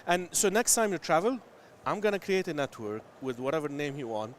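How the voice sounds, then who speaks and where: A man's voice: a little high, sing-song, brisk, a little hiss or hum. A man from Lebanon, a company founder, talking eagerly and loosely in a live trade show interview with many restarts. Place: busy trade show floor. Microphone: headset.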